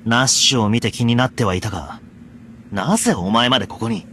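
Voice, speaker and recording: A man's voice: mid-range voice, a little fast, fairly bright tone, a little hiss or hum, lightly acted. A man voicing a young knight in an anime game, blunt and sardonic, exasperated but caring. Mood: serious, commanding. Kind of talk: Japanese anime game voice acting. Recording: light background music.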